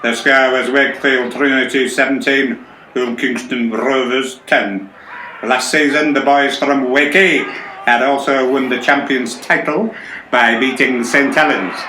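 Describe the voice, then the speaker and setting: A formal sixties sports commentator, played by a man, introducing a broadcast in a radio play. The voice is sharp and forceful, in a roomy sound with faint noise. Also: medium pitch, steady tone, a little slow. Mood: announcing. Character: formal male 1960s television rugby commentator.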